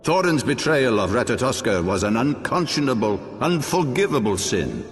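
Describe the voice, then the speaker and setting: An actor playing a solemn, proud older archbishop and father in a fantasy game, speaking in measured, formal, archaic oratory. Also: mid-range voice, sing-song, close to an everyday voice. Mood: solemn, insistent. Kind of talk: dramatic fantasy game acting. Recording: long cavernous reverb, orchestral music behind.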